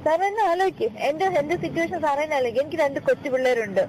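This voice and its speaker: A woman's voice: high voice, expressive, racing, a little mellow. A woman arguing insistently on a phone call, repeating her phrases in a pleading, complaining way. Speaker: caller. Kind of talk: tense, argumentative phone conversation. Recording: noisy phone call recording, vehicle sounds.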